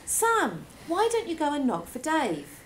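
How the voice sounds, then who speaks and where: A woman voicing a mother in a storybook who gently coaxes her son but stays firm. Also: high-pitched, huge pitch swings, a little slow, slight background noise, voice pushed up, heavily acted. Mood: coaxing. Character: boy's mum, coaxing and firm.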